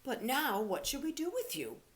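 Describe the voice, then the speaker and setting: A woman voicing a gruff, stern, very old and large male rabbit in a children's storybook. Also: high-pitched, huge pitch swings, moderate pace, voice pushed up. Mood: stern, instructive.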